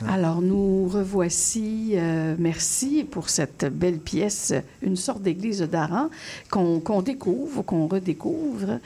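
A woman's voice: mid-range voice, expressive, takes it slowly, warm tone, husky. A woman hosting a radio literary show, introducing the next part of the interview. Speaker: radio host.

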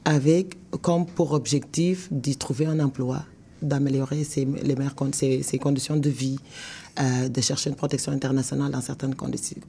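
A woman with a Senegalese accent, an academic guest explaining terms in a measured, didactic way, step by step. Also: a bass voice, flat delivery, vocal fry, dark tone, a little hiss or hum. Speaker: law professor, expert guest. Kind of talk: explanatory interview answers.

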